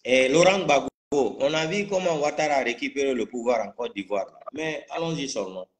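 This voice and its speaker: A man's voice: mid-range voice, expressive, brisk. Male political commentator, Guinean French accent, mid-range voice, combative and spontaneous, repeats himself for emphasis. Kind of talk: live political talk, spontaneous.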